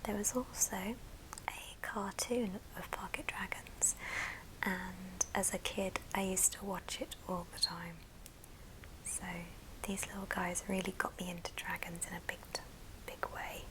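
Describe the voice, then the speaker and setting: A woman hosting an ASMR show and tell in a soft, breathy whisper, speaking gently in short phrases. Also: medium pitch, steady tone, measured pace, rough recording. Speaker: ASMR video host.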